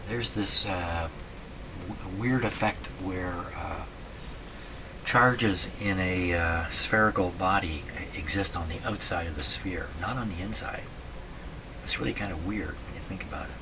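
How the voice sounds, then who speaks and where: A man thinking aloud in a casual, halting way as he explains a repair at his workbench, often putting questions to himself. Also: low-pitched. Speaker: radio repair hobbyist, host. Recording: clearly noisy room recording.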